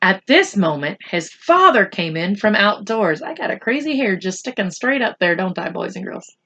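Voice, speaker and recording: A woman reading a story aloud to children expressively and deliberately, with teacherly asides. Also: medium pitch, sing-song, bright, forceful tone, highs cut off.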